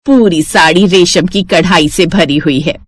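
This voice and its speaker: A woman's voice: lowish voice, a little slow, slightly rough, no echo, studio quality. A woman voicing an older mother-in-law in a family story, doting and indulgent with a fawning manner.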